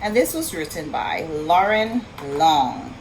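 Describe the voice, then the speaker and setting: A woman reading a story aloud for young children in an expressive, sing-song way, pausing to ask her listeners questions. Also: deep voice, a little hiss or hum, rough recording. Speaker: children's storytime reader and teacher.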